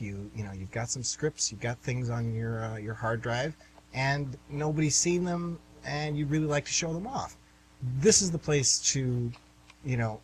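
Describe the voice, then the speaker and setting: A man's voice: medium pitch, low-quality recording. A man hosting an amateur radio theatre show, chatty and informal as he introduces and narrates. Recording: amateur radio, faint background hum.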